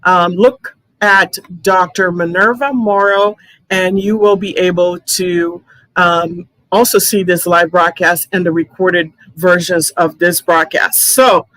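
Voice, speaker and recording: A woman's voice: fairly low pitch, steady tone, takes it slowly, fairly bright tone, no room sound, low-quality recording. A woman hosting a live online interview, chatty, with many fillers and restarts. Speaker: host.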